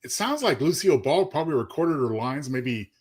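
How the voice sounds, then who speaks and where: A man's voice: medium pitch, big pitch swings, fairly quick. A man chatting casually as co-host of a radio nostalgia show. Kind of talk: casual chat.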